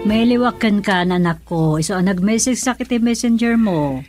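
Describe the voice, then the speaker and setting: An actress playing a caring mother in a radio drama, gently questioning her son. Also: mid-range voice, expressive, normal speed, faint music behind, lightly acted. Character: caring middle-aged mother, curious.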